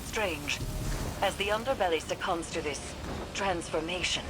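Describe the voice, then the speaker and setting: Woman voicing a low, grave advisor. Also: over loud music, close to an everyday voice, poor audio. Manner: hushed, grave, measured. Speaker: ally and advisor.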